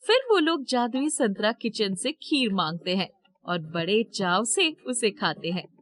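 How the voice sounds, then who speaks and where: A woman narrates a scripted story as its storyteller. Her pitch drops lower than in the acted dialogue, though it remains a female narrator's register. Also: expressive, a little slow, fairly bright tone.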